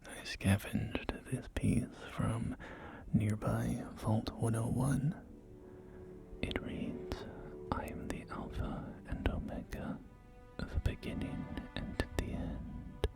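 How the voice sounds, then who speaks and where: A whispered, breathy ASMR roleplay voice playing a polite, genteel real estate agent, unhurried and hesitant, with refined phrasing. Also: mid-range voice, steady tone. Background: soft music.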